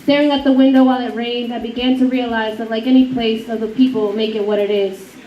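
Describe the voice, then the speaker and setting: A woman's voice: on the high side, fairly even intonation, fast talker. Woman, twenties, earnest spoken-word reading; roomy, dull recording with some noise. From Honduran-born, raised in the United States. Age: 20s. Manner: earnest recitation with Spanish words mixed in. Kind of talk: reading a personal poem to an audience. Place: indoor fundraiser event room. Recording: echoey room, distant dull mic, noisy.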